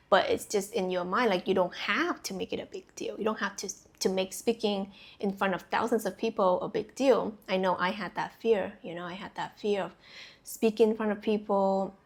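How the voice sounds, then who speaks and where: A young adult woman from Vietnam talks to her viewers as a spiritual coach, her pitch sitting in a middle range. She rambles casually, circling back with fillers and restarts, and laughs easily between thoughts. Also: steady tone, moderate pace, a little mellow. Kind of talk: casual intuitive reading to camera.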